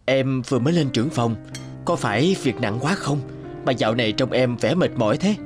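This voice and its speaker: Man as a gentle, doting young husband. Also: medium pitch, lively intonation, fairly quick, music in the background, lightly acted. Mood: caring. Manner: caring, plain-spoken.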